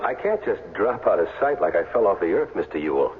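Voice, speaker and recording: A man's voice: sits low, very animated, a little fast, a little hiss or hum. An actor playing a wary, stubborn down-on-his-luck ex-athlete in a 1970s radio drama, plain-spoken and hard-boiled. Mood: puzzled protest. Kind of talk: 1970s radio drama dialogue and first-person narration. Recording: tinny vintage radio broadcast, music underneath.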